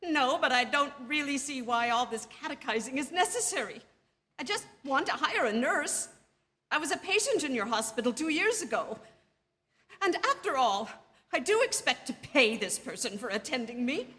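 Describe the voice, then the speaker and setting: Frantic high-voiced invalid wife. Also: female voice, sing-song, normal speed, bright, forceful tone, exaggerated voice. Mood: agitated, demanding. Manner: dramatic, pleading, imperious. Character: anxious, demanding, self-pitying invalid wife spiraling into hysteria. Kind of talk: theatrical radio drama acting.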